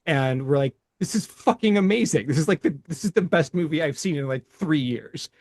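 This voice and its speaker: A man's voice: fairly high pitch, expressive, a little fast, darkish tone, dry recording, clean studio sound. A middle-aged man co-hosting a movie podcast, rambling casually with restarts and swearing. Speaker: podcast co-host.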